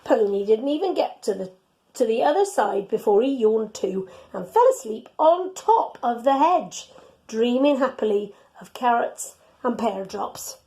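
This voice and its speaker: A woman reading a children's storybook aloud in an expressive, teacherly way. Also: fairly high pitch, measured pace.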